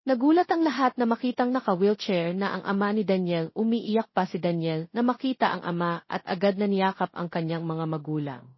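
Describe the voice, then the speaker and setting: A woman's voice: medium pitch, normal speed, dry recording, lo-fi sound. A woman with a Filipino accent reading scripted narration of a romance story as an audiobook narrator.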